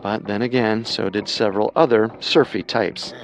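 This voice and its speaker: A man narrating a scripted true crime podcast in a measured, dramatic way. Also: on the low side, fairly even intonation, poor audio.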